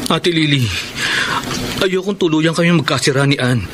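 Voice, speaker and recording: A man's voice: fairly high pitch, moderate pace, very noisy, close to an everyday voice. An actor playing a desperate young man pleading with his girlfriend in a melodramatic Tagalog radio romance. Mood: troubled, earnest. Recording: loud music under the voice.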